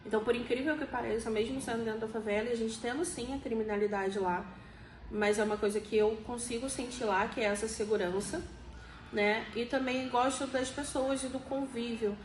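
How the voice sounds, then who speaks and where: Woman of forty, Rio de Janeiro accent, slightly high voice, explanatory interview answers, roomy echo. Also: steady tone, brisk. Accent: Rio de Janeiro Brazilian Portuguese. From Rio de Janeiro, Brazil. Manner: explanatory, frequently seeks agreement with tag questions. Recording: echoey room, faint background noise.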